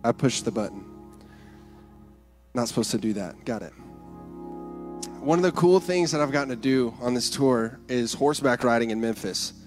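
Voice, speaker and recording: A man's voice: mid-range voice, normal speed, long pauses, soft background music. A young man on a church stage talks into a handheld mic, sharing a testimony in an earnest, casual way. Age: young adult. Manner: earnest, casual talk with restarts. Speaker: keyboard player giving a testimony.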